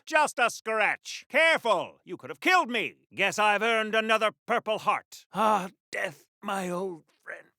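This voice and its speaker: Patriotic forties comic-book super soldier: high, swooping, theatrical radio-serial delivery for a video game. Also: male voice, sharp tone, no echo, clean studio sound, heavily acted. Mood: indignant, then wistful, dying. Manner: theatrical, hammy, old-time announcer delivery. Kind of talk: video game voice lines in golden age radio serial style.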